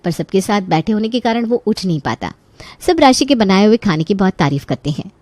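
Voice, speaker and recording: A woman narrating a scripted animated family story as its storyteller. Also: mid-range voice, a little fast, dry recording.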